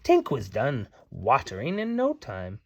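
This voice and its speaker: A man's voice: medium pitch, wildly swooping pitch, measured pace, a little mellow. A man reading a storybook aloud to children as a parent, playful and theatrical, with little asides. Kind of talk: animated storybook read-aloud.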